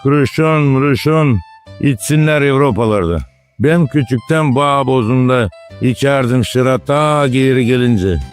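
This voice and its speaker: A man's voice: mid-range voice, a little slow, smooth, close to an everyday voice. A man voicing a wise, folksy village grandfather in a cartoon, explaining old ways. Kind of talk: cartoon character dialogue. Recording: music behind the dialogue.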